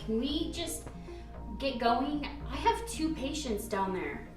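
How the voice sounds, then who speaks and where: An actress playing an impatient, skeptical doctor in a radio play, wry and challenging. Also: female voice, high-pitched, big pitch swings, slow pace, distant mic, full character voice. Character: skeptical, impatient female doctor, protective of patients. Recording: very echoey, off mic, loud music.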